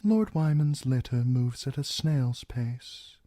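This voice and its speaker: A man voicing a cold, soft-spoken older lord who murmurs everything mildly. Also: mid-range voice, lively intonation, slow pace, dry recording, clean studio sound, voice pushed up. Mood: mild, cool.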